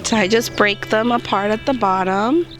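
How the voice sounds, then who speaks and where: A woman with a slightly hoarse voice, hosting a gardening vlog in an upbeat, chatty way as she walks through each step outdoors. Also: medium pitch, normal speed, fairly bright tone. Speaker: gardening channel host. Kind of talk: casual how-to chat to camera. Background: background music.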